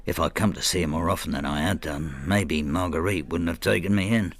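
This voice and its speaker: An actor playing a bitter, hard-drinking old husband in a radio drama, brooding and sardonic with a husky voice. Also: male voice, deep voice, fairly quick. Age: older. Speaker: lead actor and narrator. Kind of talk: radio drama acting, confessional narration.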